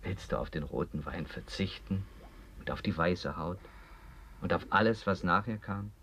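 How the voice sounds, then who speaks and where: An actor playing a brooding, probing octopus in a German radio play, with solemn, insistent theatrical delivery. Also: male voice, sits low, moderate pace, pushed and bright, a little hiss or hum. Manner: theatrical, solemn, insistent, dropping to near whispers. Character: brooding octopus with eight arms, self-confessed freak, probing interrogator. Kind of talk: German radio play acting, literary dialogue. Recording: dull, muffled radio drama recording.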